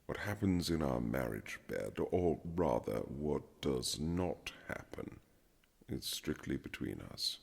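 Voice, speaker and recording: Man acting a very deep, haughty gentleman husband. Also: sing-song, gravelly, husky, exaggerated voice. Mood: reassuring, formal. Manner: formal, dry, teasing, measured aristocratic phrasing. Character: reluctant aristocratic young husband, haughty, sardonic gentleman. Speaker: audio roleplay performer. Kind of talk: romantic period-drama ASMR roleplay, scripted.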